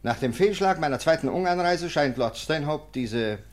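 A man's voice: fairly high pitch, animated, moderate pace, natural voice. Guy acting a scornful 1800s police officer, clipped n bossy, voice moves around a lot, radio play. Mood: scornful, assured. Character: sneering, sceptical German police lieutenant, 19th century.

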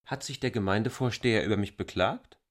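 Male actor as proud stubborn outsider guy, lowish voice, curt and sure of himself, radio drama, super dry recording. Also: fairly quick, no echo, lightly acted. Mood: defiant. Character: defiant, proud newcomer pushing back against village officials. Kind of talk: German radio drama acting.

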